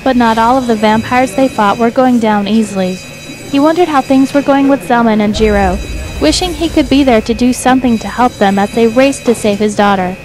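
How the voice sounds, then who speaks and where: A woman narrates a scripted fantasy audio story. Her voice sits on the high side, and music runs behind her words throughout. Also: moderate pace, low-quality recording. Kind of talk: scripted narration of fan fiction audio drama.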